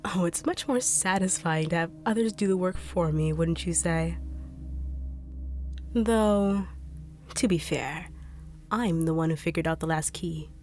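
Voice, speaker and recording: A woman voicing a smug, cruel female treasure hunter who taunts in slow, purring tones with whispered asides. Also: lowish voice, a little mellow, faint music behind, lightly acted. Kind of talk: audio roleplay drama.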